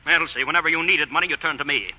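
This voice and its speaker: An American actor playing a sarcastic, scheming moneylender who blusters in old-time radio drama style. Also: male voice, on the high side, rapid-fire, lightly acted. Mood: indignant. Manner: sharp, sarcastic, indignant or slick. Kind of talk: 1930s-40s radio drama acting. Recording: dull old radio recording.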